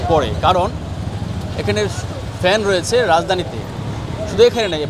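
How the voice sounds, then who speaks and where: High-voiced man reporting from a street. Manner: wordy, with frequent hesitations. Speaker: field reporter. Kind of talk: on-the-spot news report. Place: outdoors at a busy roadside petrol station. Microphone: handheld reporter mic. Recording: traffic noise outdoors.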